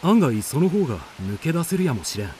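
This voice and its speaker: An actor playing a bold, stubborn warrior of Heian Japan, with resolute archaic phrasing in a radio drama. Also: male voice, a little high, very animated, fairly quick, warm tone, slight background noise. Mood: pensive, alert.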